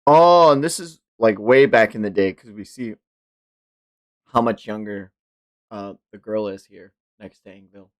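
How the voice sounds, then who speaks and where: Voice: medium pitch, a little slow. A streamer doing a live manga read-along, reacting in an unguarded, sweary way. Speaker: streamer reading manga aloud.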